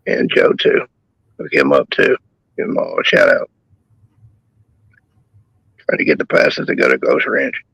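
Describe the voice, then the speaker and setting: A man's voice: rumbling low, huge pitch swings, measured pace, pauses often, fairly bright tone, no echo, poor audio. A man who is losing his voice, talking in casual crude banter as a caller on a live stream chat show, laid-back and rambling. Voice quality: gravelly, husky.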